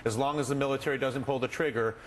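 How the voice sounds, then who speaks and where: Brisk male TV news anchor. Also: mid-range voice, fairly even intonation, a little hiss or hum. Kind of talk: TV news presenting.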